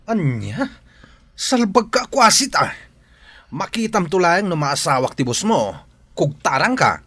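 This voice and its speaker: Village bully man acting, Ilocano radio drama: rather high male voice, animated swoops, cocky and taunting, dry close sound. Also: a little slow, no echo, exaggerated voice. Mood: aggressive, taunting. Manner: taunting, pushy. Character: brash, pushy male village troublemaker.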